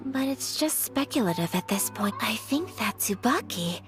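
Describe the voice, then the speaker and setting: A refined young noblewoman from an anime game, acted by a woman. Her voice is on the high side, with polite, formal and earnest phrasing and a gentle temper. Music plays clearly behind her. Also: animated, normal speed, close to an everyday voice. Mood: curious, earnest. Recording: clean voice over game music.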